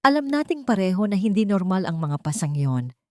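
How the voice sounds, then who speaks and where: A woman voicing an earnest male doctor in a story, serious, measured and formal. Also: medium pitch, very animated, mellow, dry recording, studio quality, lightly acted. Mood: firm.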